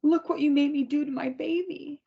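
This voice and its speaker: A man's voice: extremely high pitch, fairly even intonation, brisk, vocal fry, voice pushed up. A man reading a table-read part, voicing a deranged woman who taunts as she attacks with a syringe. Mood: accusing. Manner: menacing, taunting. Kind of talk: table read of a stage play. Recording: muffled lo-fi recording.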